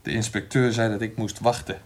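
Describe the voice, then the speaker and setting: An actor playing a gruff, petty police subordinate in a radio drama, speaking in a curt, suspicious manner. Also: male voice, lowish voice, level tone, brisk, heavy background noise, close to an everyday voice. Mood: bullying, irritated. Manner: curt, accusatory.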